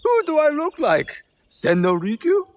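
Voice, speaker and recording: A woman's voice: sits high, sing-song, a little slow. An actress playing a sarcastic, exasperated townswoman in a video game, sounding incredulous and mocking. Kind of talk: video game cutscene acting.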